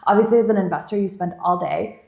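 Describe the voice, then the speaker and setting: A woman's voice: mid-range voice, quick pace, creaky, dark tone. A woman in her thirties, originally from Bulgaria, talks as a guest on an interview podcast, chatty and rambling with lots of "like". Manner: chatty, rambling, many "like" fillers. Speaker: venture investor guest. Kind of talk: casual interview chat. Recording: echoey room.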